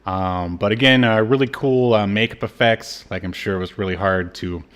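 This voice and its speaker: A man co-hosting a podcast, casually recapping a TV episode in a halting, informal way. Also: fairly low pitch, a bit bright. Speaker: podcast co-host.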